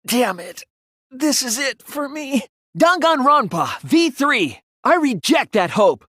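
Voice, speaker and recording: A man voicing an earnest teenage boy detective in a dramatic anime-style video game, hesitant at times and forceful when arguing. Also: very high-pitched, sing-song, slow pace, dry recording, studio quality, exaggerated voice. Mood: defiant.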